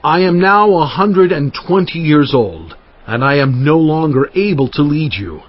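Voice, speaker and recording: Moses, husky and fervent. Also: male voice, fairly high pitch, animated, unhurried. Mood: exhorting. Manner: earnest, exhorting, emphatic. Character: Moses, aged male prophet and leader. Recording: muffled lo-fi audio with faint music.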